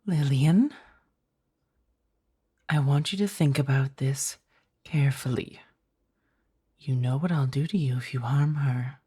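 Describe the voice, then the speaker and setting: Casting: actress as centuries-old vampire woman, ASMR drama. Deep, breathy, unhurried; formal, stern yet protective. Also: heavily acted. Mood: stern, warning. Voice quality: breathy, husky. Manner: measured, formal, hushed delivery. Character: ancient female vampire, protective, stern, tender to her beloved. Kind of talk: ASMR roleplay audio drama.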